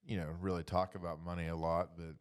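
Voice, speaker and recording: A man leading a casual faith discussion, reflective and halting, often dropping in "you know". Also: sits low, level tone, clear, resonant tone.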